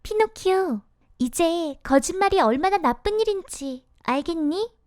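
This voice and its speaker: A woman plays a gentle storybook fairy with a high voice. She is motherly and softly admonishing, speaking in a measured, unhurried way. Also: animated, no echo, clean studio sound. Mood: gently questioning.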